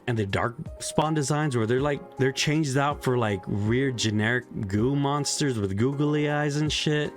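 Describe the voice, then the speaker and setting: A young man giving a casual spoken game review, frustrated and profane, with many "like" fillers. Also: fairly low pitch.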